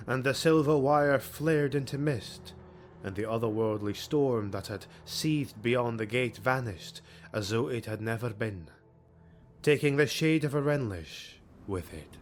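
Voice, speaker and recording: A man's voice: medium pitch, a little slow, a little hiss or hum. A man reading a fantasy audiobook as a dramatic narrator, with a grave, theatrical delivery. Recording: music bed under the voice. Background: background music.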